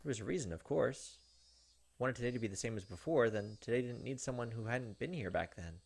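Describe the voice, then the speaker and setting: A man, perhaps in his thirties, reading visual novel narration aloud and commenting, with dramatic, halting delivery. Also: medium pitch, expressive, gravelly, darkish tone, husky. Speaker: gaming video host. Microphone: desk microphone close up. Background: game music.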